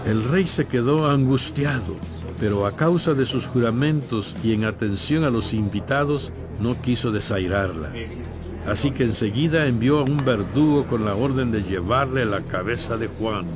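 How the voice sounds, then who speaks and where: A man narrating a dramatized audio Bible from a script in solemn, clearly phrased storytelling. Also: medium pitch, normal speed, music in the background.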